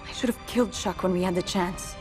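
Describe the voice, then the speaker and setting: A woman's voice: fairly low pitch, steady tone, fairly quick, over music, natural voice. An actress playing a resolute female resistance leader in a video game cutscene, earnest and direct. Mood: grave resolve.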